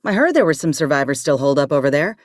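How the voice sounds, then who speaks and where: A tough, weary ex-army medic turned troubleshooter for a video game. Her voice sits on the low side and swings freely in pitch, with a drawl. She talks in a blunt, folksy, sardonic way, recorded close and dry. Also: sing-song, racing, dry recording. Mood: guarded, matter-of-fact. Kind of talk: video game character acting.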